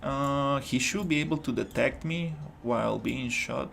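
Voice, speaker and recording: A man's voice: mid-range voice, measured pace, creaky, fairly bright tone, faint music behind. A man from Rica, casually talking through his game development on a livestream, rambling and restarting as he thinks aloud. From Costa Rica. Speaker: indie game developer streaming his work. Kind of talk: casual livestream commentary while working.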